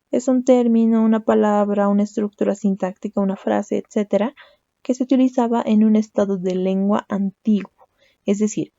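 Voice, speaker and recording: A woman's voice: medium pitch, warm tone, no echo, dull audio. A young woman teaching a language lesson, explaining step by step and often checking with a questioning "¿no?". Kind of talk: recorded lecture.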